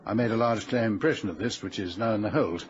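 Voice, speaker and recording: An actor playing a courteous, learned gentleman archaeologist in a period radio drama, speaking formally and precisely. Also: on the low side, fast, lightly acted. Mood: absorbed, explanatory. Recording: muffled radio drama with faint background noise.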